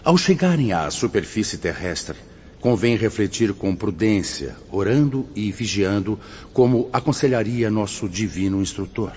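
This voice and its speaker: An actor playing a fatherly spirit mentor in a radio drama, speaking measured, didactic Portuguese with a Brazilian accent. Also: on the low side, lively intonation, a little mellow, a little hiss or hum, natural voice. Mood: encouraging, cautioning. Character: solemn, fatherly male spirit mentor guiding suicide souls. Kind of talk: scripted radio drama acting. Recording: muffled audio with music underneath.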